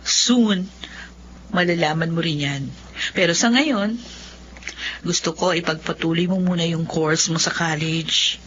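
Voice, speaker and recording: Lawyer benefactor: kindly, measured advice, radio drama, dull faintly noisy sound. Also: female voice, lowish voice, fairly bright tone. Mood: encouraging. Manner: measured, advising. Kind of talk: Filipino radio drama acting.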